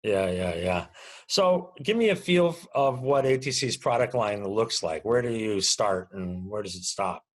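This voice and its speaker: A man's voice: fairly low pitch, lively intonation, measured pace. A man conducting a conversational interview, chatting casually as he puts questions and comments to his guest.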